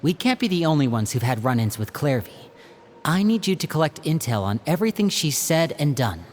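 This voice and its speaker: An actor playing a young male stage magician who is playful and protective, using a smooth, coaxing showman's delivery. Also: on the high side, very animated, brisk, a little hiss or hum. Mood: planning. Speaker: older brother planning a rescue. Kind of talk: video game dub acting.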